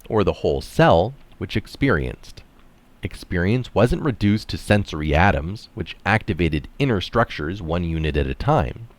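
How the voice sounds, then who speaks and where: A man reading an article aloud, stressing phrases and adding casual asides of his own. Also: low-pitched. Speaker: article reader and commentator.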